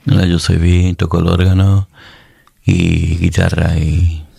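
Male guest from Chicago, deep raspy fry, slow, dark tone. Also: completely flat delivery, no echo, clean studio sound. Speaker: guest musician, organist. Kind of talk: casual conversation.

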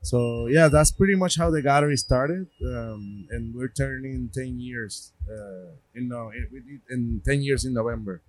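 A man's voice: medium pitch, a little slow, few pauses, poor audio. A middle-aged man with a Puerto Rican accent, a gallery owner chatting in an interview, rambling with many restarts and fillers. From Puerto Rico. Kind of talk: casual interview talk.

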